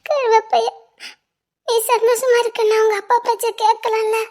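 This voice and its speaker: A woman acting a tender young woman in love, soft and tearful, in a romantic drama. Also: very high voice, little pitch movement, normal speed. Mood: concerned, tearful.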